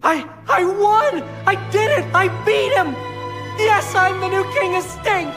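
A woman's voice: very high-pitched, a little slow, over loud music. A woman voicing a small, earnest young animal underdog in an English cartoon dub, plaintive and determined. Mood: triumphant.

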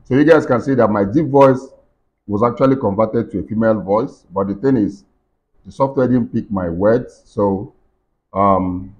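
A middle-aged man with a deep voice, giving a step-by-step software tutorial at his computer with plenty of fillers. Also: moderate pace, slightly rough. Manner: explains step by step with many fillers and restarts. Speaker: tech tutorial host. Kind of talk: screen-recorded software walkthrough. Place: indoor room at a computer desk. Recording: dull lo-fi screen recording.

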